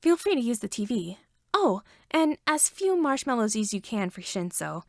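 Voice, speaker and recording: Woman as a kindly mother, creaky voice. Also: fairly high pitch, big pitch swings, normal speed, no room sound, clean studio sound. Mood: friendly. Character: kind, chatty mother, gently reassuring.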